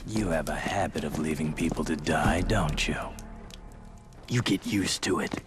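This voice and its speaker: A man's voice: extremely low pitch, measured pace, loud music behind the voice. A man playing a stoic, brooding young action hero in a video game, terse and serious.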